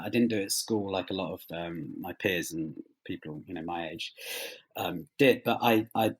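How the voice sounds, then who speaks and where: Low-voiced man with a slightly husky edge. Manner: modest, self-effacing. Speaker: interview guest, audio drama writer. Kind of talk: conversational interview answers.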